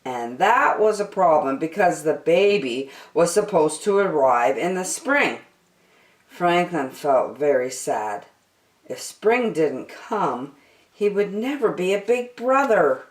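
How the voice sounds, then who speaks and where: A woman storyteller reads a children's book expressively. Her delivery is slow with a very lively range of pitch, and the recording has a roomy echo.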